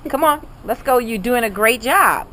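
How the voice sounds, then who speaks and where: Lively grandmother coaxing and encouraging. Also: on the high side, moderate pace, slight background noise. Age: middle-aged or older. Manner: encouraging, with exclamations and repeated prompts. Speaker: grandmother coaching a child to read. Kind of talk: casual home chat, coaxing and prompting.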